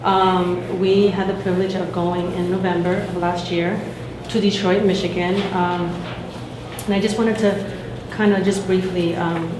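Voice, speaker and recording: A woman's voice: fairly low pitch, little pitch movement, a little slow. Woman reporting with many fillers. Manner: nervous, many fillers and restarts. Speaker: student association secretary giving a report. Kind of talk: informal report to a meeting. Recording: echoey room with noticeable noise.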